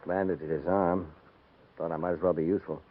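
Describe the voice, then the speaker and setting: An actor playing a proud, defiant cavalry deserter, husky and earnestly pleading, in 1950s radio western style. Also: male voice, sits low, fairly even intonation, a little fast, phone-quality sound, slight background noise, natural voice. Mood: subdued. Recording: band-limited 1950s radio recording.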